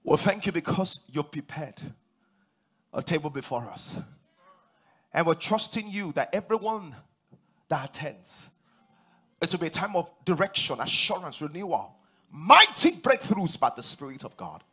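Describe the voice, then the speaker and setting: Husky Nigerian pastor preaching from a stage. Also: male voice, fairly high pitch, normal speed. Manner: animated, rising to loud emphatic declarations. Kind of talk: sermon. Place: large church stage.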